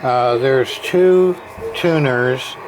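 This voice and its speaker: A man's voice: mid-range voice, drawn-out words. A man giving an informal hands-on repair walkthrough in a rambling way, muttering to himself as he goes. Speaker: radio repair hobbyist. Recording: clear background noise, amateur workbench video.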